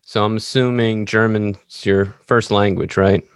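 A man's voice: on the low side, fairly even intonation, unhurried, clear, resonant tone, dry recording, studio quality. A man hosting an interview podcast, asking follow-up questions and dropping in short affirmations. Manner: brief backchannel affirmations. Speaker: podcast host.

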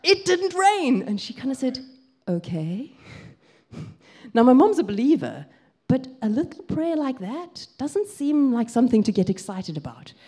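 A young woman with a South African accent, preaching and telling a personal story in a lively way, with frequent ums. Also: high-pitched, a little slow. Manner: lively storytelling, gets excited, lots of ums.

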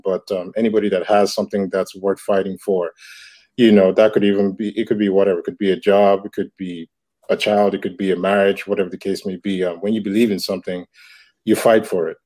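Nigerian-born creator in his thirties, low warm voice, thoughtful interview answers. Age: 30s. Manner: reflective, many fillers and restarts. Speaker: comic book creator. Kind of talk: casual interview talk.

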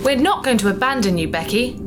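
A woman's voice: on the high side, animated, fairly quick, over loud music, natural voice. A woman playing an earnest, loyal robot companion with an innocent, literal manner in a sci-fi audio drama.

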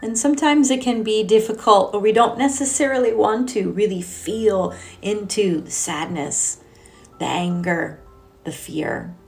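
A woman leading a guided meditation as a teacher, speaking in a gentle, soothing, unhurried way with long pauses. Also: mid-range voice, vocal fry. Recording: soft music behind the voice. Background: music.

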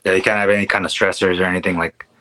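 A man with a very deep yet bright, crisp voice talks quickly and easily. Spanish was his first language, and he chats in a relaxed, agreeable way as a guest. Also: slight background noise. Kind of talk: casual chat.

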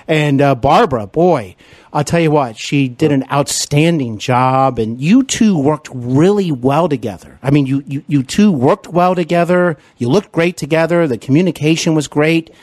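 A man's voice: fairly high pitch, normal speed, darkish tone, no echo. A man hosting an interview, asking long rambling questions full of fillers and restarts. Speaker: podcast interviewer and actor.

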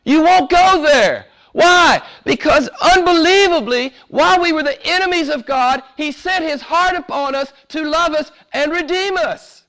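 A man preaching a sermon with fervor, hoarse from a cold and losing his voice, dropping to a hush and rising to shouts. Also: very high voice, measured pace, few pauses. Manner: fervent, swings from hushed to shouting. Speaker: pastor.

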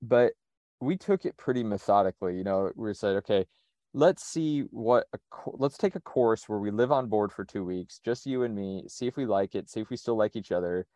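A man from the United States, speaking in a relaxed conversational interview, thinking aloud with frequent restarts. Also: on the low side, moderate pace, dry recording. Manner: reflective, methodical, frequent restarts. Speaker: sailor and interview guest.

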